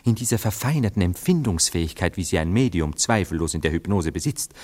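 An actor playing a jealous, wavering lover in a German radio play, speaking in hesitant, elaborate, earnest theatrical phrases. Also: male voice, deep voice, lively intonation, brisk, darkish tone. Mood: earnest, anxious. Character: jealous, wavering, anxious lover, given to brooding doubts. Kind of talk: German radio play acting of a stage comedy.

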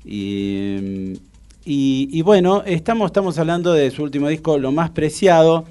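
A man with an Argentine accent hosting a casual radio interview, speaking haltingly and repeating his words and phrases. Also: fairly high pitch, animated, mellow, clear, resonant tone. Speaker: radio show host. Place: radio studio.

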